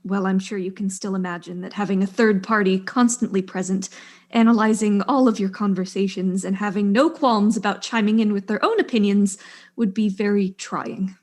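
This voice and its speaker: A woman's voice: medium pitch, moderate pace, a little mellow, close to an everyday voice. A woman playing a proud, weary widowed aerospace engineer, speaking with dry, exasperated formality in a stage-style mystery drama. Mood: weary exasperation.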